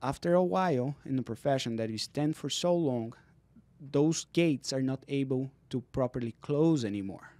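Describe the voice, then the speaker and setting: A man's voice: mid-range voice, expressive, moderate pace, a little mellow, no room sound. A man in his thirties, a physical therapist, explaining exercises and leg health earnestly in a studio interview. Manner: earnest, explanatory, non-native phrasing. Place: dark podcast studio. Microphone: studio mic on boom arm, headphones.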